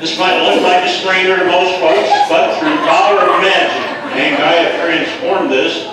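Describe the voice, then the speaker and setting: A man with a slightly high voice performs playful stage magic patter, giving instructions to a volunteer. He is picked up from far off in a very echoey venue with clear background noise. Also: fairly even intonation, normal speed. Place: live venue with audience, recorded from afar. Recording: echoey room, distant mic, background noise.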